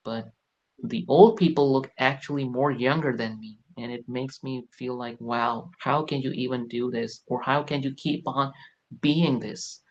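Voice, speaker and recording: A man in his late twenties talks reflectively, earnest and searching, circling back on his words. His voice sits at an ordinary middle pitch. The recording sounds a little dull and lo-fi. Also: fairly even intonation, unhurried. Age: late 20s. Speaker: guest. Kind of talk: reflective personal talk.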